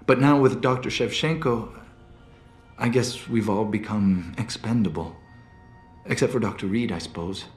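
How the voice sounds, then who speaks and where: A man's voice: on the low side, normal speed, close to an everyday voice. Man voicing an anxious, faltering captive scientist. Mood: hesitant, subdued. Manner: hesitant, faltering, stammering. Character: anxious, captive male scientist, timid and apologetic. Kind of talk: video game cutscene dialogue. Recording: roomy game cutscene with music. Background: background music.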